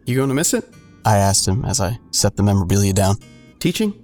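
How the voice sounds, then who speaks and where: A man playing a grown son who narrates an audio drama in a sardonic, colloquial, deadpan way. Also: on the low side, fast, faint music behind. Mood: casual, teasing. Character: wry adult son narrating his own hard-boiled story. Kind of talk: first-person audio drama narration with dialogue.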